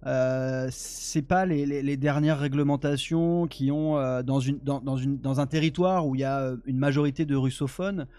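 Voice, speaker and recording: A man asking questions in a casual discussion, hesitant and halting as he builds them. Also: mid-range voice, fairly even intonation, warm tone, no room sound.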